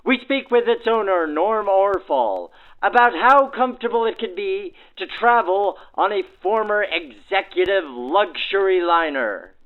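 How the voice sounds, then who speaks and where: A man with a high, swooping voice delivers formal scripted narration like a 1940s radio announcer. The sound is narrowed by a vintage radio filter. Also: lively intonation, unhurried. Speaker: narrator and host. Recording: band-limited 1940s-style radio sound.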